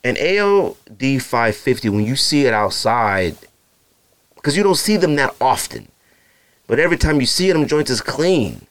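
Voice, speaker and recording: A man in his thirties with a naturally deep voice, hosting a podcast in loose, slangy casual chat full of fillers and asides to his listeners. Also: sing-song, moderate pace, a bit bright. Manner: feels hot and uncomfortable while recording. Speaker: podcast host. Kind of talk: casual solo podcast rambling.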